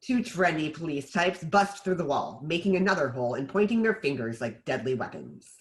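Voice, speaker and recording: Narrator reading script action lines, lively swoopy intonation, middle pitched voice. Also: a little fast. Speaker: stage-direction narrator. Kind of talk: screenplay table-read narration.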